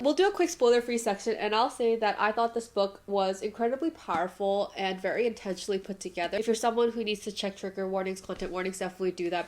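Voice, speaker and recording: A woman with a stuffy, nasal voice from allergies, chatting casually about a book she just finished, laughing lightly now and then. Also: mid-range voice. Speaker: book vlogger. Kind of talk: casual book review to camera.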